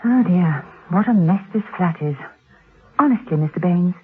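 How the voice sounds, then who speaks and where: A woman's voice: fairly low pitch, lively intonation, a little hiss or hum, close to an everyday voice. An actress playing a cool, wry female secret agent who muses aloud in a classic radio drama. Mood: wry, musing. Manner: unhurried, wry, musing aloud. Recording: thin, narrow vintage radio recording.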